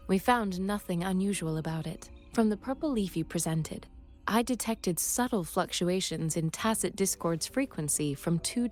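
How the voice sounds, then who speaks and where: A woman's voice: lowish voice, a little mellow, lightly acted. A woman voicing a composed, precise researcher in a video game, speaking formally and methodically. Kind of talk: video game character dialogue.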